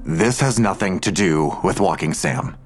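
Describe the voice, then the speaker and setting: Weary male investigator: low-pitched, curt, irritable, naturalistic audio drama dialogue. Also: lively intonation, unhurried. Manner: curt, gruff.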